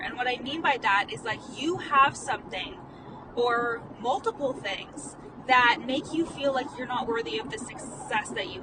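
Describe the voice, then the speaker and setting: A woman in her late twenties, a life coach who stutters, chatting candidly in a casual vlog monologue while she drives, full of "like" fillers and the odd swear. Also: high-pitched. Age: late 20s. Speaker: life coach and podcast host. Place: in a car. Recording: distant phone mic in a noisy car. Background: car and road noise.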